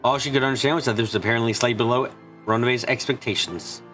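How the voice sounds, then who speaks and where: A man's voice: medium pitch, fairly even intonation, quick pace, a bit bright. A man reading story narration aloud as a live dub, with occasional slips as he reads. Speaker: streamer reading a visual novel. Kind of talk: live translated narration and dubbing. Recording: music behind. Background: game music.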